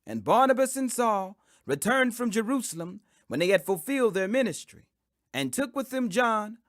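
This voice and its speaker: A man's voice: high-pitched, very animated, moderate pace, no echo, clean studio sound. A man reading scripture as an audiobook narration, in a solemn, declamatory, phrase-by-phrase delivery. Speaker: Bible reader.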